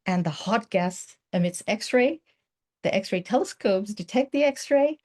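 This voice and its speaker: A woman's voice: medium pitch, lively intonation, a little slow, a little mellow. A woman giving an academic seminar talk as a guest researcher, explaining her work with informal asides. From Bangladesh. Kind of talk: academic presentation.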